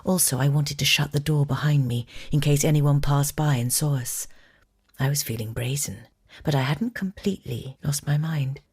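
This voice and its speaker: A brazen, lovestruck married woman tells of a forbidden romance. Her voice is low and intimate, with a wistful, expressive delivery in the style of a dramatic audiobook. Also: moderate pace, breathy edge, heavily acted. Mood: yearning. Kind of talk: dramatic first-person audiobook-style reading.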